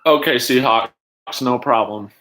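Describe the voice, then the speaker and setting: A man hosting a livestream in casual chat, rambling haltingly and jumping between asides as he talks to viewers. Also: mid-range voice, a bit bright. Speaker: livestream host.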